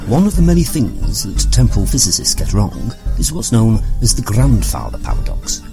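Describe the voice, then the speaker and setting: A man's voice: on the low side, wildly swooping pitch, moderate pace, vocal fry, over music, heavily acted. A man playing a mock-learned narrator, delivering pedantic, tongue-in-cheek scripted comic explanations. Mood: wry lecturing.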